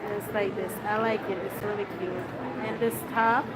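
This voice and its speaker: A woman's voice: medium pitch, normal speed, heavy background noise. A young woman with a stuffy nose from a cold, chatting casually to her fans on a live stream, with lots of fillers, holding the phone at arm's length. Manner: chatty, relaxed, with frequent fillers and laughs. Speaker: vlogger livestreaming to fans. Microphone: phone held at arm's length.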